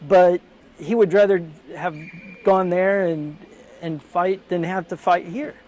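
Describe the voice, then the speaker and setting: A man's voice: high voice, steady tone, takes it slowly, a little mellow, a little hiss or hum. A man speaking earnestly and personally as an interviewee. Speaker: interviewee, bereaved parent.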